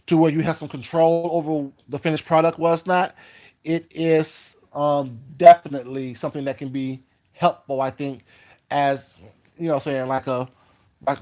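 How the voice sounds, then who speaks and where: A man with a fairly high voice chats loosely on his livestream and greets viewers by name as he goes. He repeats words while he thinks aloud. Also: a little mellow. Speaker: YouTube livestream host. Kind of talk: casual livestream chat reading comments.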